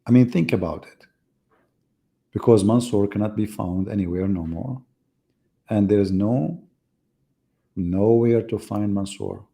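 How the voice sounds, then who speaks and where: Male stream host, dark and mellow tone, sarcastic debater with a wandering delivery. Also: lowish voice, measured pace, vocal fry, pauses often. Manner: mocking, ironic, rambling asides. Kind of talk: sarcastic live-stream commentary.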